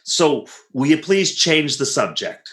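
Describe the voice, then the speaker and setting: A man's voice: fairly high pitch, sing-song, takes it slowly, fairly bright tone, exaggerated voice. Flustered radio-comedy husband with swooping inflections, acted by a man. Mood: sly, wry. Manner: flustered, incredulous, wry. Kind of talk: re-enacted 1940s radio comedy acting. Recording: roomy, echoing voice recording.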